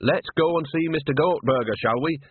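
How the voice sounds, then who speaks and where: A man voicing a cheerfully pushy old witch woman, folksy and bright in manner, in a storybook reading. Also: fairly high pitch, sing-song, moderate pace, husky, dry recording, phone-quality sound, pitched above the performer's own voice.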